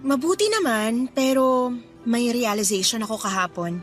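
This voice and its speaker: A woman acting in a Filipino radio drama as a cheerful, gentle young woman letting down her suitors. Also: fairly high pitch, moderate pace, faint music behind, natural voice. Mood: gentle, serious.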